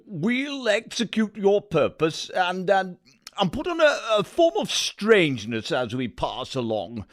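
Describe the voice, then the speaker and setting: Stammering military commander, high voice that swoops widely, slow and halting, for classical audio drama. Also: male voice, big pitch swings. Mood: halting, pompous. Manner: halting, throat-clearing, stammering. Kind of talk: Shakespearean audio drama acting.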